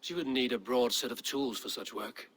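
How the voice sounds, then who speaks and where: An actor playing a steady, watchful Viking warrior with a terse, measured delivery in a video game cutscene. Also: male voice, medium pitch, a little hiss or hum, close to an everyday voice. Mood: guarded.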